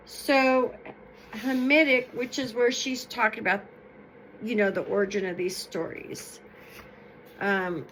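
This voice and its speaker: A woman reading stories aloud in an expressive, emphatic, explanatory way. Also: a little high, slight background noise, poor audio. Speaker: storyteller reading folktales aloud. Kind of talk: expressive read-aloud storytelling with informal explanatory asides.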